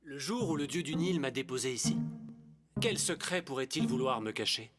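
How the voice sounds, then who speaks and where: A man's voice: medium pitch, expressive, normal speed, loud music behind the voice, close to an everyday voice. A young man playing a kind, polite, curious prince in a French cartoon dub. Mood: puzzled.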